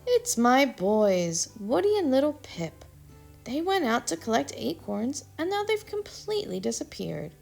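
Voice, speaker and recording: A woman playing a fussing storybook acorn mother, anxious and sharp-tongued, her voice climbing high when she frets. It has a gravelly, husky grain, with soft music behind. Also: very animated, unhurried, heavily acted. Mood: worried. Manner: anxious, complaining, firm.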